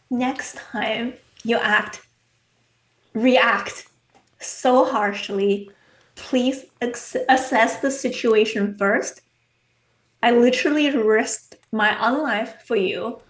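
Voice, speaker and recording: A stern female vampire in a tabletop game, voiced by a woman who presses for answers in slow, measured sentences. The voice is fairly high and heard in an echoey room. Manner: measured, pointed questioning.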